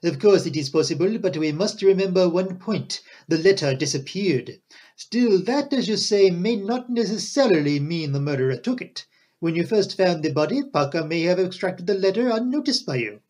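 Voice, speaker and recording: A man reading an audiobook, voicing a dapper, excitable foreign detective with emphatic, courteous, quaint phrasing. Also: sits high, moderate pace, voice pushed up. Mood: energetic, reasoning. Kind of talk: audiobook character dialogue.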